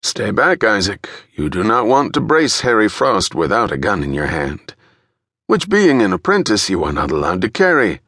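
A man performs a seasoned middle-aged detective in an audiobook. He is a teasing, mock-pompous mentor with a lifted pitch, captured in a very dry studio sound. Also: big pitch swings, moderate pace, fairly bright tone, husky, no room sound, heavily acted. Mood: teasing, cautioning.

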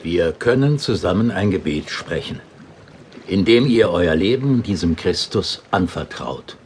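Loyal missionary sidekick in a bible radio play, sober n dry, slow lowish voice. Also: male voice, expressive, a little mellow, a little hiss or hum. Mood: gentle, solemn.

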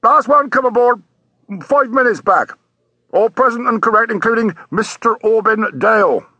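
A man's voice: very high voice, normal speed, bright, forceful tone, breathy edge, no echo, dull audio, voice pushed up, heavily acted. A man voicing a stubborn merchant sailor on watch, with insistent, ungrammatical working speech in an audiobook. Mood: chatty. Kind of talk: audiobook character voice.

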